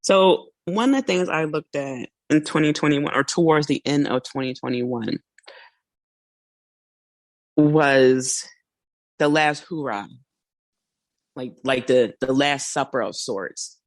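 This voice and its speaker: A woman hosting a casual solo podcast, chatty and a little hoarse, laughing now and then and acting out small quoted asides. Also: rumbling low, takes it slowly, long pauses, no room sound. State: slightly hoarse. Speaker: podcast host.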